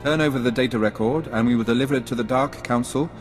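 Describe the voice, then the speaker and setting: A man's voice: mid-range voice, fast, lightly acted. An imperious envoy, played by a man, speaks in a mid-range voice. He is formal and officious, a space opera game figure echoing in a large hall over faint music. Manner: stately, officious. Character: formal, imperious male envoy of a dark council. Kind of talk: scripted sci-fi game acting.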